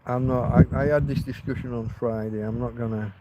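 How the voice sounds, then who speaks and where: A man's voice: medium pitch, steady tone, a little fast, muffled recording, very noisy. A man hosting a rambling live stream, chatting loosely to his viewers and restarting sentences, with a slight cough. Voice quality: slight cough, throat tickle. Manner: meandering, restarts often, chats to viewers. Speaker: livestream host.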